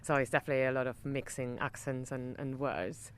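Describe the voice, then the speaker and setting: Argentine-accented woman, Spanish native, middle-aged, smooth resonant tone, halting speech with many fillers, sitting in an outdoor garden. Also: very deep voice, fairly even intonation. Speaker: wine estate general manager. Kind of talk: conversational interview.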